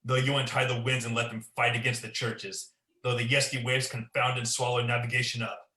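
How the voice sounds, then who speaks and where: Man acting a forceful, commanding warlord king. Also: mid-range voice, level tone, fairly quick, natural voice. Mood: demanding, defiant. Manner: declamatory, commanding, theatrical. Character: ambitious, guilt-ridden, demanding warlord king. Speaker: student performer. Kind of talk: Shakespearean radio play acting. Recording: echoey room.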